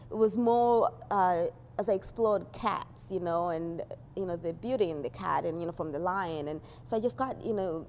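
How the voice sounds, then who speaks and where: A woman's voice: mid-range voice, a little mellow, a little hiss or hum. A woman born in Uganda, talking casually about her artwork in a rambling way, with many "you know" fillers and easy laughs. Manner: laughs easily. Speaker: artist presenting her work.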